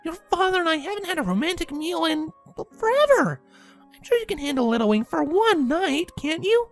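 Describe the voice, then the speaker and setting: A chirpy mother pegasus speaks far up in pitch. She gushes and pleads in a slightly flustered, animated storybook manner. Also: brisk, faint music behind. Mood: pleading. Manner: pleading, gushing.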